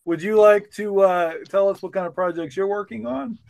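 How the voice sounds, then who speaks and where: A man's voice: sits high, normal speed, gravelly, a little mellow. A man acting as host in a casual podcast chat, putting questions to guests.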